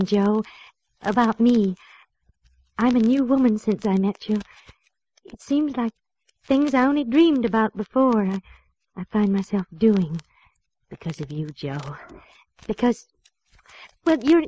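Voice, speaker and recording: An actress playing a lovestruck, proud woman of means in an old-time radio drama, with halting, theatrical delivery. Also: medium pitch, a little mellow, poor audio. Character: lovestruck, wealthy, wordy woman, tender then sharply proud.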